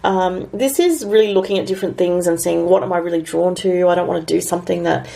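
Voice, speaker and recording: An Australian woman with a raspy, gravelly voice, still a little worn from being sick. She talks through her astrology and tarot forecast in a loose, rambling way, full of fillers. Her tone sits somewhat low. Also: fast talker, dark tone. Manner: rambling, filler-heavy, thinking aloud. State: raspy from recent illness.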